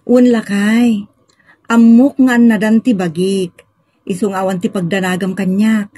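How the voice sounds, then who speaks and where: A woman playing a feisty village woman, colloquial and expressive, in an audio comedy drama. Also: mid-range voice, unhurried, slightly rough, no room sound, studio quality. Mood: reassuring. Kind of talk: Ilocano radio-style comedy drama acting.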